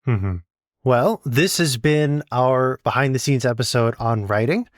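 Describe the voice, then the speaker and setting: A man's voice: mid-range voice, measured pace, no echo, clean studio sound. A man hosting a casual podcast interview, speaking conversationally. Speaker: interview host.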